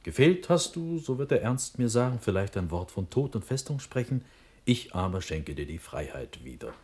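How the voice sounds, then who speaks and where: An actor playing an impulsive, trusting young Prussian prince in a classical verse radio play, with declamatory, impassioned delivery that moves from urgent to hushed. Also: male voice, on the low side, sing-song, moderate pace, breathy edge. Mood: fervently trusting.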